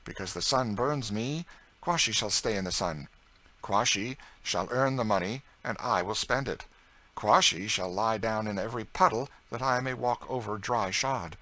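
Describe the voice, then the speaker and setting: A man playing a witty, teasing young gentleman who banters with his cousin in an audiobook reading. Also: on the low side, normal speed, voice pushed up. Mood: impassioned. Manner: bantering, ironic, then impassioned. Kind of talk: audiobook character voice.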